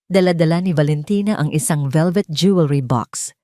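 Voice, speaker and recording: A woman's voice: sits low, moderate pace, dark tone, dry recording, clean studio sound. A woman reading a scripted dramatic story in an emotive storytelling manner. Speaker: story narrator.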